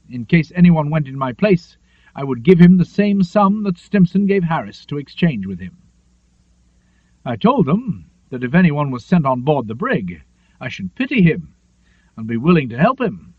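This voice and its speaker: A man narrates a literary memoir with lively, rising and falling intonation and a measured storyteller's manner. His voice sits fairly high for a male reader. The recording is very dry, with a narrow, phone-like tone. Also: no room sound. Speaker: audiobook narrator.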